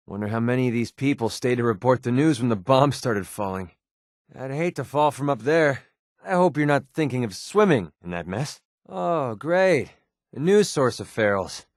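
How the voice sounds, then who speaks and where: An actor playing a wry, guarded young American mercenary companion in a video game, talking in a sardonic, conversational way. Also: male voice, medium pitch, dry recording, clean studio sound. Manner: sardonic, conversational, hesitant asides.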